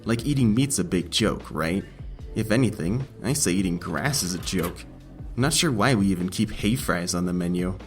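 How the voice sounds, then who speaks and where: Audiobook role: short, laid-back badger cashier male actor, half-asleep stoner drawl, fairly low pitch. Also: animated, normal speed, faint music behind. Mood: mellow, friendly. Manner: lazy, casual stoner drawl. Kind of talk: audiobook character acting.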